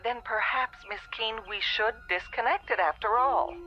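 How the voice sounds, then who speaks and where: A woman's voice: a little high, normal speed. A woman playing a courteous, reassuring telephone company clerk in a radio drama, heard through a telephone filter. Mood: polite. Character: polite, placating female telephone company clerk. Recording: narrow telephone-style sound, faint music.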